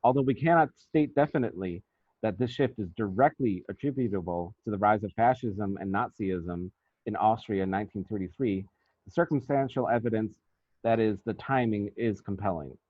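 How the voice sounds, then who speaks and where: A man reading out a scripted academic paper as a researcher, in a formal, measured and deliberate manner. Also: fairly low pitch, dry recording. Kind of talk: scripted academic presentation, read aloud. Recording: thin, phone-like band-limited sound.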